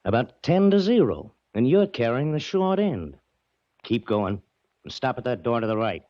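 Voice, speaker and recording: An actor playing a tough, curt bodyguard who gives orders and wisecracks in a 1940s radio drama. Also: male voice, medium pitch, big pitch swings, moderate pace, close to an everyday voice. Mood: menacing, sardonic. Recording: muffled, slightly noisy old radio recording.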